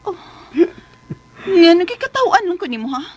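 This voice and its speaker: A woman's voice: very high-pitched, big pitch swings, moderate pace. A woman acting in a Philippine radio drama as a troubled young wife, defensive and plaintive, with a Filipino accent. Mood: worried, complaining.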